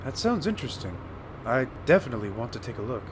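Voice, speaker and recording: A man's voice: medium pitch, very animated, fast talker, slight background noise, natural voice. A man playing a courteous, inquisitive scholarly doctor in an audio drama, with precise and formal wording. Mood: curious, impressed. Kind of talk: fan audio drama acting.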